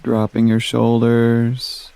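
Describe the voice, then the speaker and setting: Guy doing guided meditation, really slow and gentle, dry close sound. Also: medium pitch, monotone, creaky, clear, resonant tone, no echo. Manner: gentle, soothing, instructing with long pauses.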